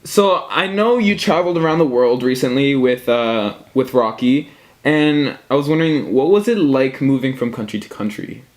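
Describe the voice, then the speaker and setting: Young man, interviewer, hesitant and rambling, average pitch. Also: lively intonation, moderate pace. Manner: hesitant, many fillers and restarts. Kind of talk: casual family interview.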